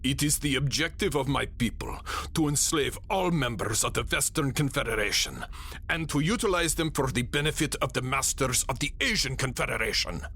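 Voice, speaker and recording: Dramatic captive soldier, sweeping pitch man acting. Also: animated, moderate pace, bright, forceful tone. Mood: grim, recited. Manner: dramatic, earnest. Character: captive enemy soldier, resigned, devoted lover. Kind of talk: old-time radio drama acting. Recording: noisy old radio recording.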